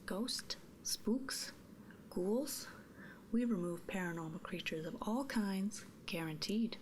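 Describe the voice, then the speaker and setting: Slow, whispering woman in ASMR roleplay. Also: mid-range voice, a little hiss or hum. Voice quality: soft breathy whisper. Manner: unhurried, gently inquisitive, murmured hums. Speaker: ASMR performer. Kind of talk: whispered ASMR roleplay as a ghost removal technician.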